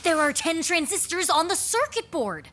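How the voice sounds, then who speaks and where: An actress playing a tentative, observant, gently teasing friend in an audio drama. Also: female voice, extremely high pitch, big pitch swings, normal speed, heavily acted.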